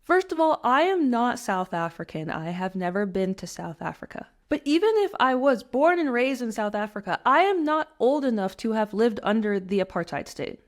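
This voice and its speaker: A young American woman narrating a scripted personal essay in an earnest, emphatic, measured way. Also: on the high side, sing-song. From United States. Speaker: commentator.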